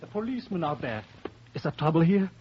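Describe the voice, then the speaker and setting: Courteous visitor, expressive delivery, radio detective serial, dull noisy vintage sound. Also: male voice, on the high side, quick pace. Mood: anxious, bewildered. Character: polite, shaken Dutch businessman, foreign visitor. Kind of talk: old-time radio detective drama acting. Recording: muffled, noisy old radio recording.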